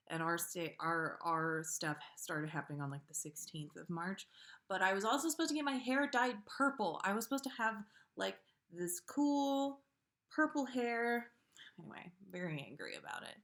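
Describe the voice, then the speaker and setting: Expressive woman, informal storyteller. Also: fairly low pitch, normal speed. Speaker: podcast host reading listener stories. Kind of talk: casual livestream chat, then storybook read-aloud.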